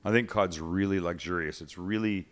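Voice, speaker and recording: A man's voice: sits low, normal speed. A man hosting a live cooking show, chatting casually, joking and swearing now and then.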